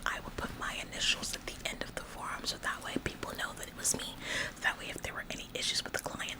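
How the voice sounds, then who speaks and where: A woman's voice: lightly acted, poor audio. A woman playing an officious, nitpicking office employee who acts like the boss in a whispered ASMR roleplay, nagging repetitively with condescending, faux-polite phrasing.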